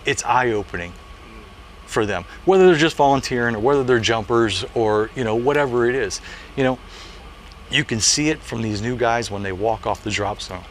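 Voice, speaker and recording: A man in his late forties speaks at a middle pitch, sharing stories about parachuting in an earnest, conversational way. He leans on "you know" fillers. Faint ambient noise sits behind him outdoors. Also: a bit bright. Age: late 40s. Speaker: military parachute jumpmaster. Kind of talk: casual interview answer.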